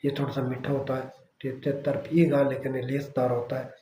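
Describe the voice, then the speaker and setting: A man with a Pakistani Urdu accent explains bird care to his channel's viewers in a steady, reassuring way. His voice is mellow and flat in pitch. The room is echoey, with a faint hum of ambience behind him. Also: fairly quick. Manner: reassuring, repeats "theek hai" as a check-in. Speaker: bird-keeping channel host. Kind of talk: casual how-to talk to camera.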